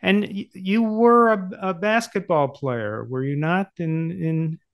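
An older man hosting a casual interview in a jokey, halting conversational way, close on a desk microphone. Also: sits high, gravelly, no echo, highs cut off. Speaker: podcast host, interviewer. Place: indoor book-lined home office, video call.

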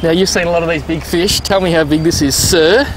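Excitable fishing-show host. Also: male voice, on the high side, fairly quick, background noise. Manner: excitable, enthusiastic, laughs loudly. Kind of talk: outdoor fishing show chat. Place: outdoors by a lake. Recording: music bed under outdoor voice.

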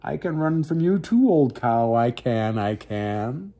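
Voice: medium pitch, very animated, takes it slowly, mellow, full character voice. A storyteller voicing a small boastful gingerbread man who chants his taunts in a sing-song.

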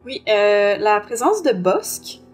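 Female review podcast co-host, Quebec French accent, average pitch, faint music underneath. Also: sing-song, takes it slowly, slightly rough. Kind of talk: casual review discussion, partly reading aloud.

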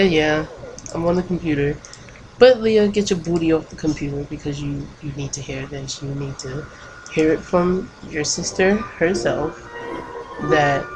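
A woman doing casual game commentary, keeping her voice hushed at night with bursts of excitement. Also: low voice, slight background noise, rough recording. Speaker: let's play gamer. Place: home at night.

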